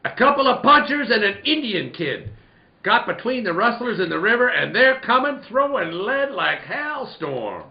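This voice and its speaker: Man playing gravelly, cantankerous old rancher. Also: high voice, big pitch swings, normal speed, heavily acted. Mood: excited. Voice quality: gravelly, creaky. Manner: grumbling, rustic cowboy talk. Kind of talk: old-time radio western acting. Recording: roomy, dull, noisy recording.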